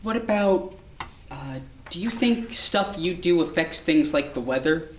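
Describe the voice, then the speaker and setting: A low-pitched, patient female child psychiatrist acted by a woman in a staged drama. She speaks in a measured, reassuring, professional way. The sound is distant, with strong room echo. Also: expressive, slight background noise. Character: calm, patient child psychiatrist questioning a young patient. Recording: distant, echoey room recording.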